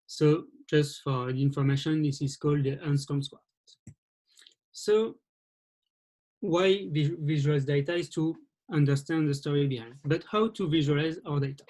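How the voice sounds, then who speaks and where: A man from France with a French accent, giving a presentation over a video call and talking in a halting way with frequent restarts. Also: on the high side, a little mellow, rough recording. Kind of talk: webinar presentation.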